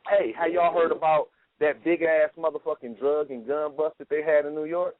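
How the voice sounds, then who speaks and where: A man hosting a loose radio talk show, his voice sitting a little high for a man. He tells stories in a profane, animated way. The sound is dry and thin, like it came over a phone line. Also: moderate pace, no echo. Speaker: podcast host. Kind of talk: casual chat.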